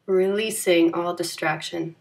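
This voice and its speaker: A woman leading a guided yoga nidra meditation in a calm, gentle, soothing manner with unhurried phrasing. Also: on the low side, creaky.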